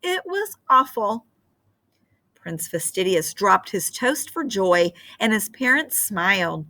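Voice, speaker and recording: A middle-aged woman teacher reading a storybook aloud with expression, then explaining its moral to students over a webcam. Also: on the low side. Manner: expressive and animated. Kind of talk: storybook read-aloud and lesson. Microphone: webcam on a screen share.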